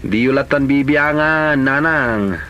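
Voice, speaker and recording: A man's voice: mid-range voice, unhurried, smooth, lo-fi sound, close to an everyday voice. A man acting as a suspicious, easily offended, scheming husband in an Ilocano comedy drama. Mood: insistent. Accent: Filipino, Ilocano.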